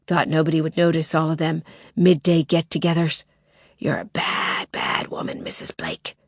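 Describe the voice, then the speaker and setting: A woman's voice: steady tone, normal speed, no echo, studio quality. Woman doing a harsh-voiced masked male intruder. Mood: menacing. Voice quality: thick and harsh. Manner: low menacing whisper.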